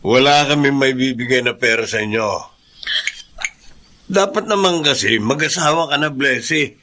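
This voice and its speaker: A man's voice: mid-range voice, moderate pace, bright, forceful tone. A man acting as a callous, dismissive father in a Filipino radio drama, speaking in a scornful, curt way.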